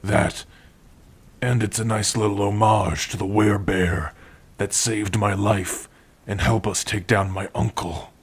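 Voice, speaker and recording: A man playing a gentle, proud orc warrior and blacksmith in an intimate ASMR roleplay, speaking tenderly in a husky, breathy voice with long pauses. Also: fairly low pitch, steady tone. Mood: fond, reminiscing. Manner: slow, tender, measured delivery with long pauses. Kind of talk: ASMR roleplay, intimate second-person acting.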